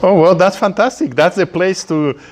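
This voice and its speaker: A man's voice: high voice, normal speed, a little mellow. A man who speaks English as a second language hosts a casual live interview in an encouraging way. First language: not English. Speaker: host, interviewer. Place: community tech workspace, live event.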